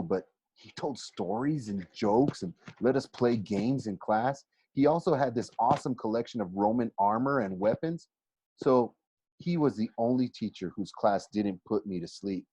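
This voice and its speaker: A man reads a first-person story aloud in an expressive, conversational way, with dramatic emphasis and pauses. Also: on the low side, breathy edge. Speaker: teacher reading a novel aloud for a class. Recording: roomy, slightly dull home recording.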